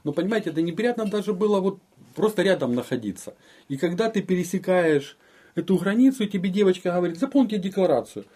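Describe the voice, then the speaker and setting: A man speaks rapidly in a mellow, husky voice. He is recounting his own experiences in an informal, conversational interview style. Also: sits high, expressive. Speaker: interviewee recounting family's escape. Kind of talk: informal personal storytelling.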